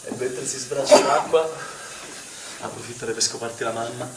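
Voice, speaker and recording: Actor as cocky young swim coach, distant and echoey. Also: male voice, medium pitch, normal speed, natural voice. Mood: crude, taunting. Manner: teasing, goading, vulgar banter. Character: cocky, crude, provocative young male swimming instructor. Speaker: stage actor. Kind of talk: naturalistic Italian stage drama. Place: theatre stage, picked up from afar. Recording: roomy, distant, noisy theatre recording.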